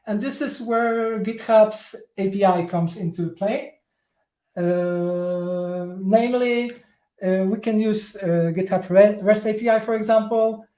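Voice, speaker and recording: A man with a Polish accent, a native Polish speaker, gives a live coding talk that is halting and full of fillers and restarts. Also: sits high, dark tone, echoey room. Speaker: tech presenter.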